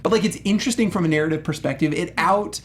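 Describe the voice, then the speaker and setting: A man's voice: on the high side, fast, a little hiss or hum. A man co-hosting a casual discussion show, talking enthusiastically and emphatically, often saying "like". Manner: enthusiastic, emphatic, heavy use of "like". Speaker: panel show co-host. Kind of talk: casual film and game discussion.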